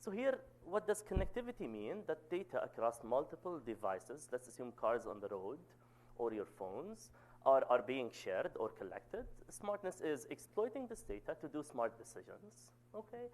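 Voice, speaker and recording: A man in his thirties with a loud voice, giving an academic seminar talk and often checking in with "okay?". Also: normal speed, rough recording. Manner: says "okay?" to check in, lively pitch. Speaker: guest lecturer.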